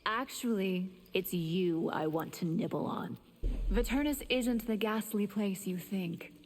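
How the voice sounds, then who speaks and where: A woman's voice: medium pitch, a little slow, close to an everyday voice. A woman voicing a predatory, seductive vampire in a video game, teasing her prey with menace. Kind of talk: video game character acting. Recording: loud noise behind the voice.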